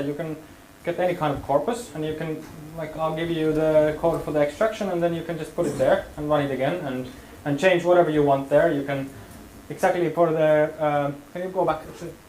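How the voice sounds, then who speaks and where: A man leads a hands-on workshop in a casual, hedging way, full of fillers. His voice sits a bit high. The room is echoey, with a faint hum of ambience behind him. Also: steady tone, fairly quick, darkish tone. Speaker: workshop instructor.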